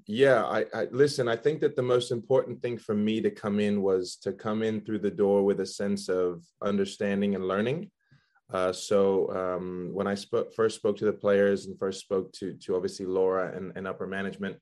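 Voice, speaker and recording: A man's voice: lowish voice, darkish tone. A middle-aged man from Belgium, a soccer executive, answering interview questions thoughtfully with frequent ums. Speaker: guest, soccer general manager.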